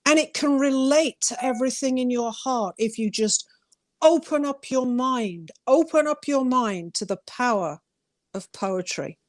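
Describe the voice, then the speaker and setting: A woman's voice: high voice, lively intonation, measured pace, no echo. Earnest, emphatic woman talking poetry, dry sound. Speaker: talk show co-host and poet. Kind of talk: heartfelt talk and poetry reading.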